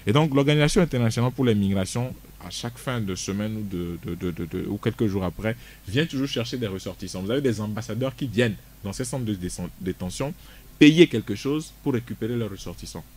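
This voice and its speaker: A man's voice: on the low side, expressive, quick pace, a little mellow. A man, a guest on a radio discussion show, speaking emphatically and often repeating words as he restarts. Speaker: studio guest.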